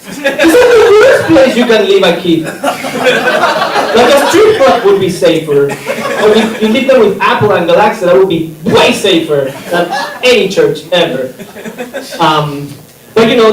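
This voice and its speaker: A man's voice: high voice, noisy background. A man from Chile, performing a stand-up comedy set in a rambling, self-interrupting way. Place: comedy theater stage. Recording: echoey room from a distance, audience laughter.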